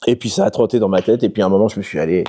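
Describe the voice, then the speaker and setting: A young adult man from France, a guest talking casually in an interview, halting and full of fillers. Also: on the low side, warm tone. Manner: halting, many fillers and restarts.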